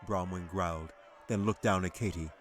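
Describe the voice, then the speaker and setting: A man narrating a fantasy audiobook as its author, in a measured, dramatic storytelling manner. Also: deep voice, steady tone, soft background music. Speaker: author reading own audiobook.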